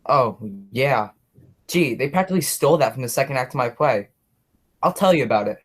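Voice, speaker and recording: A man's voice: mid-range voice, lively intonation, brisk, slightly rough. A young man playing a chatty, oblivious policeman who dreams of writing plays, in a radio drama. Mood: friendly, surprised. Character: chatty, oblivious policeman and eager amateur playwright. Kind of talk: radio play acting.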